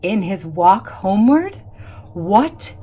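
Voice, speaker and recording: Slow, expressive woman narrating a spooky tale. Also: mid-range voice, lo-fi sound. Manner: theatrical, deliberate phrasing with drawn-out words. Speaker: storyteller, narrator. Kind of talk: dramatic scripted narration of a spooky storybook tale. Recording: music behind the voice. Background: instrumental music.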